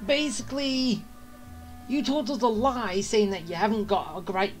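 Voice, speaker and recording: A woman's voice: a little high, moderate pace. A woman giving casual, chatty gameplay commentary, musing aloud as she plays. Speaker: game streamer. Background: game music.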